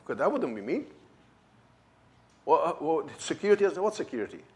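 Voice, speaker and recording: Middle-aged guy w a german-turkish accent, kinda high voice, telling his faith story all fired up. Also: sing-song, a little fast, darkish tone. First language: not English. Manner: emphatic, fervent storytelling with acted-out dialogue. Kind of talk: personal testimony.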